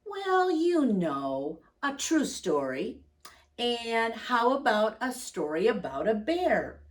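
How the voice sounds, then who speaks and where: A woman's voice: a little high, big pitch swings, slow, distant mic. A woman voicing a curious, eager young boy in a bedtime storybook, asking questions. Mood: pleading.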